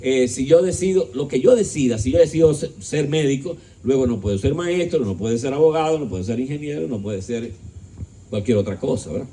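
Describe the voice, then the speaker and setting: Dark-voiced man lecturing emphatically. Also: animated, fairly quick. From Dominican Republic. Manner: rhetorical questions to the audience, joking asides; emphatic, shifting pitch and volume. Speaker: speaker at a discussion gathering. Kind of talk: philosophical lecture to an audience.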